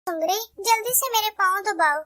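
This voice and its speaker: A woman's voice: very high voice, moderate pace, sharp tone, no room sound, studio quality. A woman voicing a spoiled female bird who haughtily orders her maid about in a cartoon. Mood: scolding. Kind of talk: cartoon voice acting.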